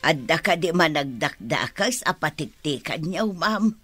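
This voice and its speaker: A woman's voice: low-pitched, very animated, unhurried, bright, forceful tone, faint music behind, exaggerated voice. A woman playing an alert household helper advising her mistress in an Ilocano radio drama, with a wary manner.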